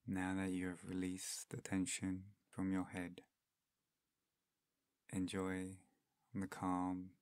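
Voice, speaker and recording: A man guiding a meditation in a soft-spoken, soothing, unhurried manner with long pauses between phrases. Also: low voice, completely flat delivery, no room sound, clean studio sound. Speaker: meditation guide. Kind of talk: guided meditation narration.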